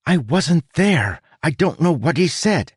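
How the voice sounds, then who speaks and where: A small, chatty storybook rat, acted out by a man, pitched up high and swooping with drama. He is fussy and bossy, easily exasperated, and talks with theatrical flair. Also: very animated, a little slow, slightly rough, no room sound, clean studio sound, exaggerated voice.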